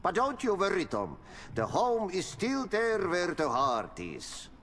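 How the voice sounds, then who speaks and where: An actor playing a cryptic, serene janitor who speaks in slow riddles and proverbs. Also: male voice, sits high, huge pitch swings, fairly bright tone, long reverb tail, a little hiss or hum, heavily acted.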